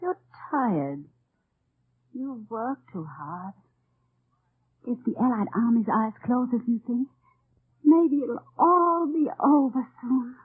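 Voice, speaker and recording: An actress playing an anxious, devoted young woman in an old-time radio drama, soft and solicitous. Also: on the high side, animated, slow, long pauses, slightly rough, exaggerated voice. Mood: tender concern. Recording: tinny, dry old radio recording.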